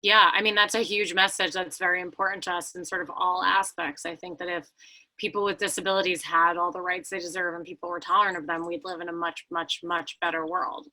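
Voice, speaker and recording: A woman's voice: lowish voice, fairly even intonation, a little fast, pushed and bright, low-quality recording. A woman interviewing a guest over a remote call, supportive and affirming. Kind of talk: interview conversation. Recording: remote call with slight delay.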